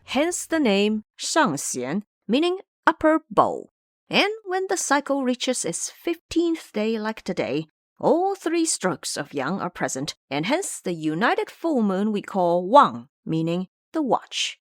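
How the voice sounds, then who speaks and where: Woman voicing a lively, lecturing monkey monk. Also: mid-range voice, takes it slowly, no room sound, clean studio sound. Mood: earnest teaching. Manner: didactic, emphatic. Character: clever, lecturing male monkey disciple monk.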